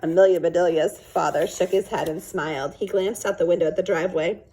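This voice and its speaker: A woman reading a children's book aloud in an expressive storytelling style. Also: fairly low pitch, a little fast, husky, low-quality recording. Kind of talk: expressive read-aloud narration.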